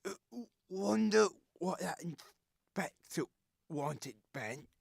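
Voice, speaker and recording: An actor playing a troubled, scarred man who talks to his dead twin, halting and stammering in a radio drama. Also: a little high, lively intonation, vocal fry, slightly rough, exaggerated voice. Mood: nervous, dreamy.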